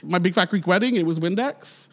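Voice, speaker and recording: A man's voice: high voice, a little fast. A middle-aged man from Ontario, co-hosting a podcast in a playful, upbeat casual chat. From Ontario, Canada. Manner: upbeat, playful, laughs often. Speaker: podcast co-host.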